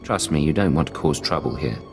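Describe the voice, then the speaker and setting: An actor playing a cold, curt, self-interested mercenary in a video game cutscene. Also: male voice, very deep voice, animated, a little fast, gravelly, long reverb tail, faint music behind, heavily acted.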